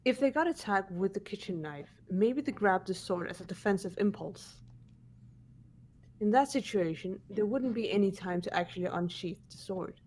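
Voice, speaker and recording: A woman voicing a composed, analytical teenage girl in a fan-dubbed mystery game, measured and matter-of-fact. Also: medium pitch, a little mellow, slight background noise, natural voice. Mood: calm, deducing.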